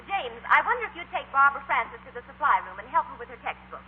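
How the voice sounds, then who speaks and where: A woman's voice: sits high, very animated, quick pace. A woman acting a prim, gracious schoolteacher commanding her class in a 1940s radio comedy-drama. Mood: brisk, polite. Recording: noisy, echoing lo-fi 1940s radio.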